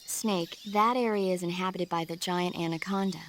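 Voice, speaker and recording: A woman's voice: lowish voice, steady tone, moderate pace, noisy background, natural voice. An actress playing a knowledgeable, helpful female radio support officer in a video game, explaining things in a careful, informative way.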